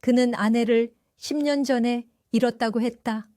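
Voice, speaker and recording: An older woman tells her story in a calm, reflective first-person narration. Her voice sits fairly high, and the recording is close and completely dry. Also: moderate pace, no echo. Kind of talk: scripted first-person audio drama narration.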